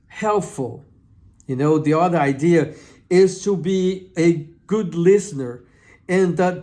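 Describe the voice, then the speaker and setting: Slow, high-voiced man with a Brazilian accent. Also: mellow. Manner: hesitant, often repeats and restarts words; earnest advice-giving. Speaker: channel host. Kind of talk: informal talk to camera.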